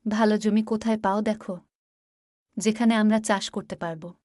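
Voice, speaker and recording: A woman's voice: medium pitch, fairly even intonation, normal speed, a little mellow, no echo, studio quality, natural voice. A woman playing the devout, practical wife of a poor farmer in a cartoon, speaking earnestly. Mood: earnest, hopeful.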